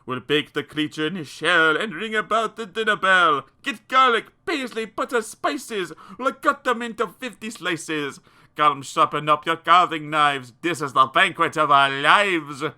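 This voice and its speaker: A man voicing greedy, excitable French villagers baying for a meal in a storybook poem. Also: on the high side, measured pace, full character voice. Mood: frenzied gloating. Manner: loud, excitable, gloating.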